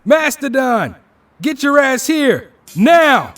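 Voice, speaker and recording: Teen superhero, played by a man: very high male voice, agitated and blunt, pressing urgency, faint music beneath. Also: very animated, slow pace, a bit bright, full character voice. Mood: commanding. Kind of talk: audio drama acting.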